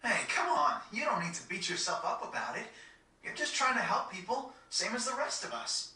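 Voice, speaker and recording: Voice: medium pitch, very animated, normal speed, bright, forceful tone. An actor playing a casual, protective, take-charge teenage boy in a video game cutscene, frank and informal. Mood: reassuring. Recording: echoey, distant game audio.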